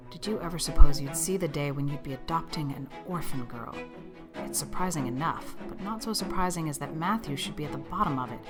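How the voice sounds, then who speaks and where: A woman playing a stern, brusque middle-aged spinster guardian in an audiobook, crisp, scolding and matter-of-fact. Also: deep voice, natural voice. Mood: wry, musing.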